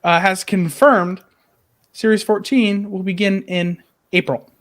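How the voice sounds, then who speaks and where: High-pitched man chatting on a podcast. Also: steady tone, unhurried, a bit bright. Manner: joking, irreverent. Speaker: podcast co-host. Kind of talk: casual fan news chat.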